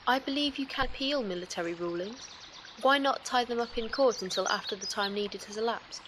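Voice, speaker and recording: A woman playing a calm, analytical alien companion in a sci-fi audio drama, speaking in a measured, formal, literal way. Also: a little high, background noise, natural voice. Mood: logical, measured.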